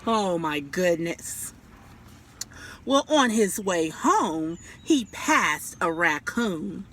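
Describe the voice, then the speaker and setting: A woman storyteller reading a picture book aloud to children and pausing to ask them questions. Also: on the low side, sing-song, fairly bright tone, slight background noise.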